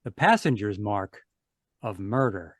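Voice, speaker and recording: An actor playing a confident detective, narrating a case in crisp, declarative old-time radio style. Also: male voice, fairly low pitch, very animated, a little slow, dry recording, clean studio sound, heavily acted. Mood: assured. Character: Ellery Queen, confident sleuth explaining his case.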